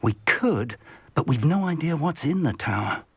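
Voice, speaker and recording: An older wizard mentor from a fantasy video game, husky and breathy, with lively swings of pitch. He is careful and quietly authoritative, speaking deliberately in a close, dry recording. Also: dry recording, exaggerated voice. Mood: watchful. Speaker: mentor professor. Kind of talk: scripted fantasy game acting.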